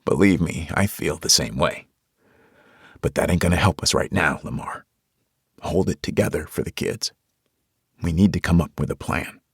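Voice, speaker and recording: A man voicing a gruff, wounded tough guy. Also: rumbling low, normal speed, pauses often, no echo, clean studio sound, exaggerated voice. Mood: steady. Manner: gruff, blunt, drawling.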